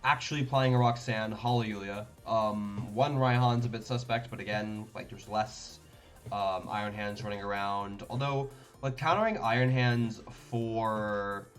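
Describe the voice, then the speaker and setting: A man's voice: lowish voice, measured pace, soft background music. A young man from Denmark streaming a card game, thinking aloud in casual commentary with lots of fillers and restarts.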